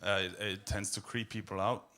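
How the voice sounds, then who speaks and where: A man with an Austrian accent doing a stand-up set in a deadpan, darkly humorous way, full of fillers and hesitations. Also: fairly low pitch, normal speed. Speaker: stand-up comedian. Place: comedy club stage.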